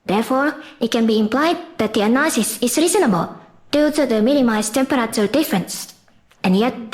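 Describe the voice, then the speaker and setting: Woman w/ kinda high voice doing a formal scripted explainer, goes step by step like a class presentation. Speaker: presenter of an engineering class project.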